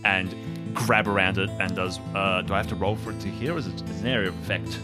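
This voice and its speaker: A man's voice: low-pitched, fairly even intonation, fast talker. A man playing in an improvised roleplay show, casually describing his moves. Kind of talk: casual improvised game chat. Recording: music behind the voice.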